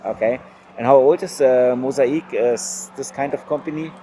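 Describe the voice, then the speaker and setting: A man interviewing a company founder, asking technical questions in halting, non-native phrasing while he searches for words. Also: medium pitch, dark tone, slight background noise.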